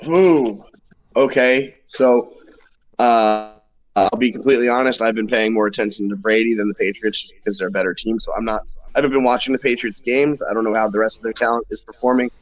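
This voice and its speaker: A man's voice: on the low side, fairly even intonation, brisk, rough recording. A man on a sports panel, chatting casually in a frank, easygoing way. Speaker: guest panelist on a sports show.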